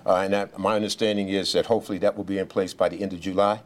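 Deep-voiced police official at a briefing. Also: male voice, level tone. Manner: halting, many fillers and restarts; speaks loudly without a mic. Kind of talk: press briefing remarks.

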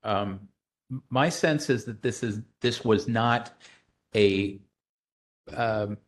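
Committee chair, lowish male voice, measured, halting restarts. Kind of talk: chairing a public meeting.

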